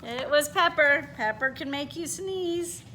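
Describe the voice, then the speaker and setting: A woman reading a children's picture book aloud in an expressive, animated way, pausing to put questions to her listeners. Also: high voice, noisy background. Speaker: read-aloud storyteller.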